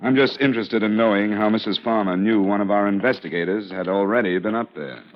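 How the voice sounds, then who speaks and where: A man's voice: fairly low pitch, a little fast, heavy background noise, lightly acted. An actor playing a dry, wry male insurance investigator who narrates in a 1950s radio drama. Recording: dull old radio with music.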